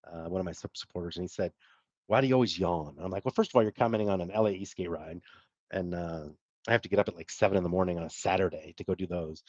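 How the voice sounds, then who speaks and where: A man's voice: sits low, no room sound, lo-fi sound. A middle-aged man hosting a livestream, chatting casually and rambling as he answers viewer comments. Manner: rambling, self-deprecating, with fillers and laughs. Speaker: livestream host.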